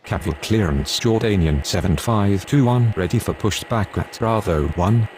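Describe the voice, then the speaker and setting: Low-voiced actor as male airport radio operator. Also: moderate pace, a little mellow, slight background noise, lightly acted. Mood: businesslike. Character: male pilot and controller on airport radio, businesslike. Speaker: air traffic radio voice in a mobile game. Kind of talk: clipped aviation radio phraseology.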